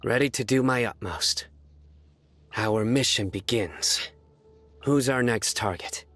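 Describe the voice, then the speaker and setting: An actor playing a stern, earnest young judge and desert warrior in a video game, speaking in a measured, formal, deadpan way. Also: male voice, mid-range voice. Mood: calm, courteous. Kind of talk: scripted video game character voice lines.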